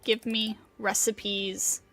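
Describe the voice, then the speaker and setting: A woman's voice: mid-range voice, little pitch movement, extremely slow, pushed and bright. A woman game livestreamer chatting casually and slangily, with playful exclamations. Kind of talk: casual livestream commentary with reading out of game dialogue.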